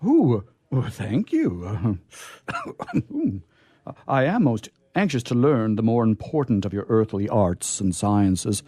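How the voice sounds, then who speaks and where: Guy doing a mellow innocent angel, very formal and curious, swoopy pitch, comedy radio play. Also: big pitch swings, slow pace, husky, dry recording, heavily acted. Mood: eager wonder. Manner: hesitant, formal, florid phrasing with oohs and hums. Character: naive, courtly, curious male angel discovering earthly pleasures. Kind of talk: comic stage radio play acting.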